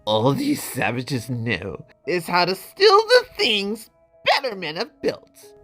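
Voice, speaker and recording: A man performs an arrogant young prince of a fantasy saga, petulant and entitled. The voice climbs high and lingers imperiously on words, with music playing behind it. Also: wildly swooping pitch, a bit bright, full character voice. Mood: sneering contempt. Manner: imperious, drawn-out, sneering delivery.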